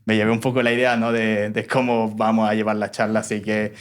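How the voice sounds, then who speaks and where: A male podcast host speaks in a low, even voice that barely rises or falls. He phrases his questions hesitantly, circling back and restarting. The tone stays casual and conversational. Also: brisk, smooth. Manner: hesitant, many restarts and fillers. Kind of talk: casual interview hosting.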